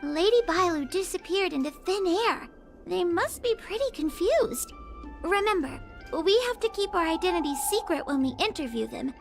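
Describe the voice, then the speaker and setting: A woman's voice: very high voice, lively intonation, moderate pace, creaky, faint music behind, heavily acted. An actress playing a small, earnest, cautious young girl judge in an anime-style video game. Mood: worried, earnest.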